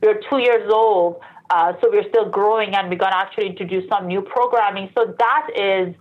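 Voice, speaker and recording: The sound is dull and slightly lo-fi, carrying a woman's mid-pitched voice. She is from Iran and later moved to Canada. She speaks as an interview guest, explaining things conversationally and correcting herself along the way. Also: moderate pace. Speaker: interview guest, sports industry professional. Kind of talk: casual interview answers. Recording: dull, lo-fi band-limited sound.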